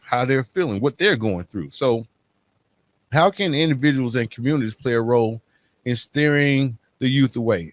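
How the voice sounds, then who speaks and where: A man's voice: medium pitch, dry recording. A middle-aged man hosts a conversational podcast. He makes his points with emphasis and keeps checking that the listener follows. The sound is dry, with very little room. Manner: emphatic, punctuates points with tag questions. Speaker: podcast host.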